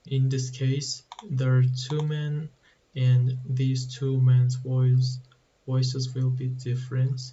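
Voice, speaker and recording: A man explaining code slowly with halting restarts, as a tutorial host. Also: medium pitch, completely flat delivery, warm tone, muffled recording, a little hiss or hum. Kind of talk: screen-recorded coding walkthrough.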